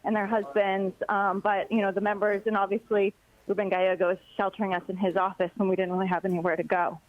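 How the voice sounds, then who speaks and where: A young woman reporter speaking over a dull, lo-fi phone line, her voice in an ordinary middle pitch. She recounts what happened haltingly, with plenty of filler words. It is a live phone-in to a news broadcast. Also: little pitch movement, no echo. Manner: hesitant, many filler words, recounting events. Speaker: congressional reporter.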